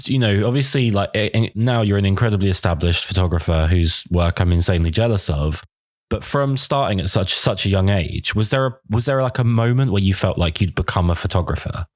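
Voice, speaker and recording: Bunged up guy hosting a podcast, really deep voice, dry close mic. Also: lively intonation, a little fast, no room sound. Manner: self-deprecating, chatty. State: congested from a cold. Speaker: podcast host. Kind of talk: casual interview chat.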